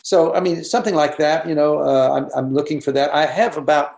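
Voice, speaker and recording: A man, a guest director on a video call, talking casually, halting and repeating words with many fillers. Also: a little high, steady tone, a little mellow, reverberant.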